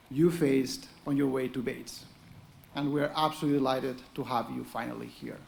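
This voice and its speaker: Gravelly Spanish-accented man giving a speech. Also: medium pitch, darkish tone, a little hiss or hum. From Spain. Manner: earnest, encouraging address with occasional dry humor. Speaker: economics professor. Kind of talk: formal ceremonial speech.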